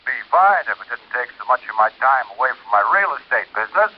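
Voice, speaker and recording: A man's voice: mid-range voice, big pitch swings, quick pace. A man playing a hesitant, chatty insurance office manager in an American radio drama, hedging and repeating himself, with an American accent. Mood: hesitant, concerned. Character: hesitant, chatty small-town insurance and real estate man. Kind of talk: mid-century American radio drama acting. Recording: hissy, dull old radio recording, telephone call.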